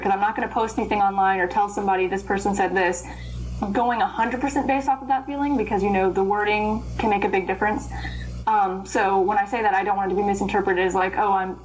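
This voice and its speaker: Young woman rambling on in an interview, chatty and animated, little hiss behind her. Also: mid-range voice, rough recording. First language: English. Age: young adult. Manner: rambling, animated, trails off into laughs. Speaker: guest, Korean interpreter. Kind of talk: casual interview chat.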